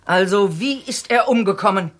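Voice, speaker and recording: A woman's voice: medium pitch, moderate pace, close to an everyday voice. An actress playing a stern, inquisitive middle-aged aunt in a radio crime play, composed and pointed in her questioning. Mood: probing, insistent.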